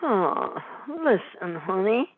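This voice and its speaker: A woman's voice: medium pitch, big pitch swings, takes it slowly, background noise. Woman playing brassy mob wife, tinny phone-like sound. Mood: conciliatory. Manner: sarcastic, nagging, slangy. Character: brassy, scolding middle-aged mobster's wife and mother. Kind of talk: amateur comic radio play.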